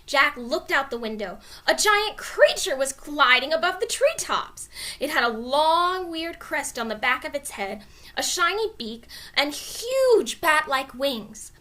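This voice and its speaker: Female storybook reader: expressive narration, very lively pitch movement, bright tone. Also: measured pace.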